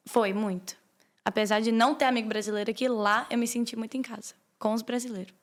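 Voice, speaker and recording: A woman's voice: fairly high pitch, steady tone, fast. A young woman from Brazil, a podcast guest, chatting casually in a rambling way. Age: young adult. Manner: rambling, many fillers and restarts. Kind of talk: casual chat.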